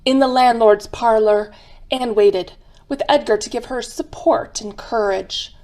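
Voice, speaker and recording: Female narrator for scripted radio drama, fairly high voice. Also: a little slow, a little mellow.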